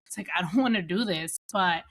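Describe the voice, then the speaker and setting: A woman's voice: on the low side, a little fast, fairly bright tone. A woman from the United States with Dominican family, telling personal stories casually as an interview guest, often acting out bits of dialogue. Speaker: podcast guest.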